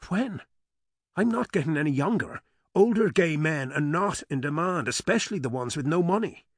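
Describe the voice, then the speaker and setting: Perky, teasing brother as voiced by a male narrator; lifted pitch, husky edge, dry booth. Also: normal speed, dry recording. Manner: lively, teasing. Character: brother of the heroine, teasing and upbeat.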